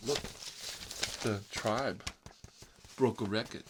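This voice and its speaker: A man playing a fussy, gossipy bachelor uncle in an improvised comedic ASMR roleplay, rambling and confiding in a prissy, halting way. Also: lowish voice, very noisy. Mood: chatty, wistful.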